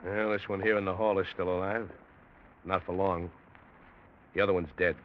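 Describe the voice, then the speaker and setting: Man playing a deep, gruff radio cop. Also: flat delivery, moderate pace, natural voice. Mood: matter-of-fact. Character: gruff, matter-of-fact male policeman. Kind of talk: 1940s radio acting. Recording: muffled, faintly noisy old radio.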